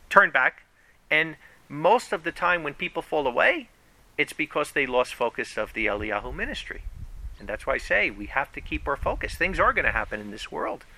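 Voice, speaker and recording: A man preaching a sermon to his congregation in an animated, conversational way, often raising his voice for emphasis. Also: high voice, creaky, no room sound. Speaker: pastor.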